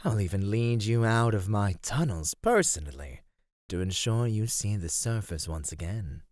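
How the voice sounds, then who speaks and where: A man playing an ancient underground monster in an ASMR roleplay, speaking in a measured, menacing, teasing way with formal wording. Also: lowish voice, expressive, a little mellow, dry recording, studio quality, close to an everyday voice. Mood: teasing, threatening. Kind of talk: ASMR roleplay, slow intimate scripted acting.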